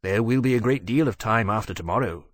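A man's voice: on the low side, fairly quick, dry recording, lightly acted. Man voicing an earnest, precise dragon. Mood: displeased. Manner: precise, earnest, formal phrasing. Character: earnest, eager young male dragon, polite and bossy.

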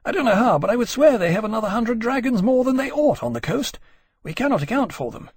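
A man's voice: extremely high pitch, big pitch swings, fast, breathy edge, dry recording, voice pushed up, exaggerated voice. A man voicing a harried military captain, frank, rueful and easily embarrassed, speaking emphatically in an audiobook story. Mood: worried, emphatic.

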